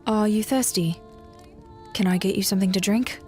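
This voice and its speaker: A woman playing a guarded, wistful bard in an audio drama, speaking gently and in measured phrases. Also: mid-range voice, soft background music, natural voice. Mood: guarded, polite.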